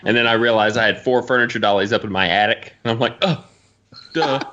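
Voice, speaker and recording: A man chatting casually as a podcast co-host, telling stories in an animated way. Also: mid-range voice, quick pace, dull audio, background noise. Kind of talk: casual chat.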